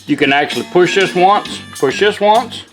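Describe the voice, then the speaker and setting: Male demonstrator, informal folksy delivery, slightly higher pitch, clear music in the background. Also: animated, moderate pace. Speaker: radio restorer demonstrating a product. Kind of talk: casual product demonstration. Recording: music playing from a radio behind. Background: radio music with guitar.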